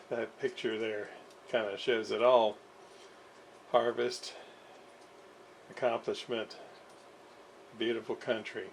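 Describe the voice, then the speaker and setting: A man giving casual, unscripted workbench commentary in a halting way, with pauses and self-deprecating asides. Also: medium pitch. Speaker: host of radio repair videos. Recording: clear background noise.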